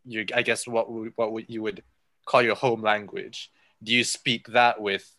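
A man's voice: on the low side, level tone, measured pace, bright, forceful tone. A young man whose first language is Malay, speaking thoughtfully in a casual chat over a video call and often searching for his words.

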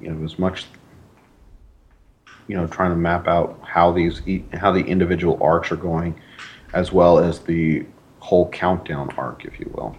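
Deep-voiced man, modest guest. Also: steady tone, measured pace, darkish tone, lo-fi sound. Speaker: writer, interview guest. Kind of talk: casual interview chat.

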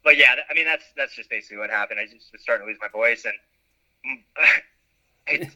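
Bright-voiced man chatting as a guest. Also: mid-range voice, quick pace, poor audio. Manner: animated, laughs at himself, lots of fillers. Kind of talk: casual interview chat.

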